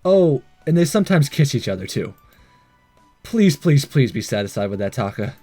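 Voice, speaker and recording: A man's voice: mid-range voice, sing-song, moderate pace, dark tone, breathy edge. A man hosting a let's play, reading visual novel dialogue aloud in a playful, theatrical way with joking asides. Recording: game music behind.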